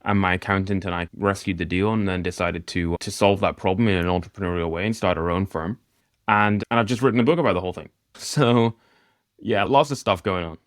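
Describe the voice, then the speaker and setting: A man in his thirties from Northeast Scotland, with a mixed mid-Atlantic accent with a light Scottish edge, talking casually as a podcast guest, self-deprecating and quick to laugh. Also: sits low, no echo, studio quality. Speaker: guest mentor.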